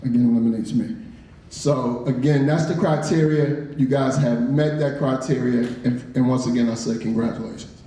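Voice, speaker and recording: A man's voice: medium pitch, fairly even intonation, moderate pace, heavy background noise. Man speaking in an echoey hall. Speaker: speaker at an awards ceremony. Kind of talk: ceremony speech. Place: school hall.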